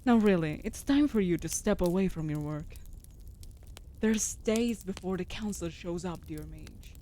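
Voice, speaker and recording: A woman voicing a female wolf familiar in a fantasy comfort audio drama, coaxing softly with dry, teasing banter. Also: lowish voice, expressive, measured pace. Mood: caring, coaxing. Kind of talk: scripted comfort audio roleplay.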